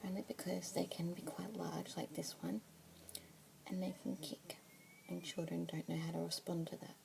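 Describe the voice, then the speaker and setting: Softly whispering Australian woman, gravelly edges. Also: fairly low pitch, level tone, moderate pace. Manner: soft breathy whisper with gentle murmured fillers. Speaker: ASMR creator playing a pet adoption specialist. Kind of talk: whispered ASMR roleplay. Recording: close whisper mic with clear background hiss.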